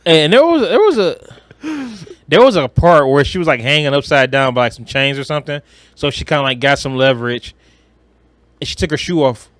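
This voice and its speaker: A man co-hosting a podcast, chatting casually about films in a blunt, animated, slangy way with some swearing. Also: on the high side, a little fast, no echo. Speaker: podcast co-host.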